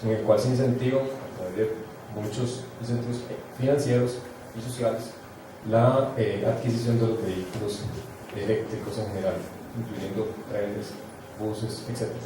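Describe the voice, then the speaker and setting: A man's voice: lowish voice, little pitch movement, normal speed, background noise. Man speaking far off in an echoey hall. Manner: explanatory, self-correcting with fillers. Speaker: university researcher on a panel. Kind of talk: panel talk with statistics. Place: large hall.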